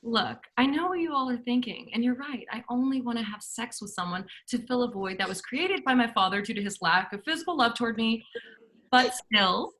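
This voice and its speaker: A woman's voice: on the high side, a little fast, a bit bright, lightly acted. A woman reading the part of a frank, frustrated teenage girl in a script table reading. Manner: frank, exasperated, confessional. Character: blunt, frustrated teenage girl, high school junior. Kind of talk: table-read script acting.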